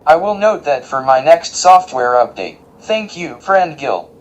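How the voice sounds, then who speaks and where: An actor playing a polite, literal-minded robot diner worker in audio fiction, speaking formally and precisely with deadpan earnestness. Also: mid-range voice, unhurried, slight background noise. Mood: earnest, deadpan.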